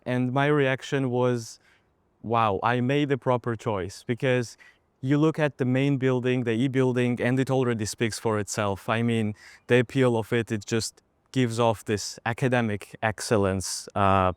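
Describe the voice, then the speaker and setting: A man's voice: mid-range voice, steady tone, a little slow, clean tone, no echo. A man in his twenties from Georgia, with a Georgian accent, giving articulate interview answers as an international student. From Georgia, Tbilisi. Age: 20s.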